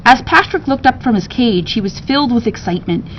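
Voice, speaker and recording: A woman reading a children's storybook aloud with expressive narration. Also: brisk. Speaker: story reader. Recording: dull sound with rumbling background noise.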